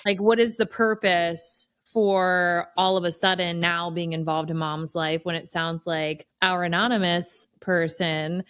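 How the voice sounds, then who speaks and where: A woman chatting casually as a podcast co-host, telling things in an animated way with wry exaggeration. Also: fairly low pitch, measured pace, few pauses, smooth, no room sound, studio quality.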